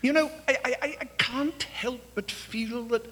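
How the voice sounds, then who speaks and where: An actor playing an eccentric, covetous wizard shopkeeper in comic stage radio theatre, with a theatrical, coy delivery. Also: male voice, very high-pitched, measured pace, bright, forceful tone, heavily acted. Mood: sly, knowing. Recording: live theatre hall with long reverb.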